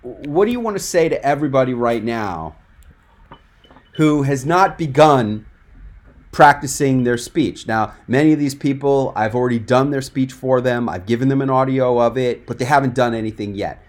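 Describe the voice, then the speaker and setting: A man hosting a live online coaching webinar, stressing key points by slowing and stretching words. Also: mid-range voice. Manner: emphatic, drawn-out for stress. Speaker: webinar host and speaking coach.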